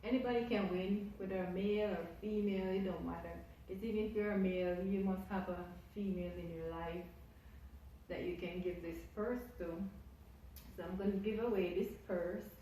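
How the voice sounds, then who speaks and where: A woman's voice: mid-range voice, steady tone, normal speed, mellow, very echoey, recorded from a distance, a little hiss or hum, rough recording. A Jamaican woman vlogging casually to her camera, effusive and repetitive as she piles up her thanks. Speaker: YouTuber. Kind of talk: casual vlog talk to camera.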